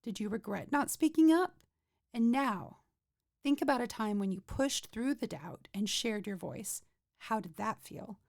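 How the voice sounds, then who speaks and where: A woman's voice: fairly high pitch, darkish tone, no room sound, clean studio sound. A woman hosting her own podcast, talking through a scripted episode in an upbeat, motivational and conversational way. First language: not Swedish. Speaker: podcast host.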